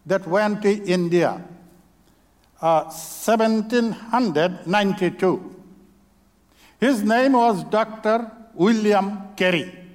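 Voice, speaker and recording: A man from Bangladesh with a Bangladeshi accent, a native Bengali speaker, preaching as a visiting speaker in church, in short halting phrases. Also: sits high. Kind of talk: church sermon testimony. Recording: long reverb of a church hall.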